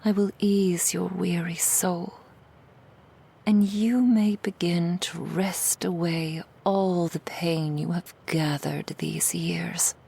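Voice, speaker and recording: Woman playing a slow, whispering seductive demoness. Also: lowish voice, fairly even intonation, warm tone, full character voice. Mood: coaxing, assured. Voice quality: breathy, whispery. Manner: slow, soothing, insidiously persuasive. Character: seductive, coaxing female demon preying on loneliness. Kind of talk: ASMR roleplay, intimate monologue.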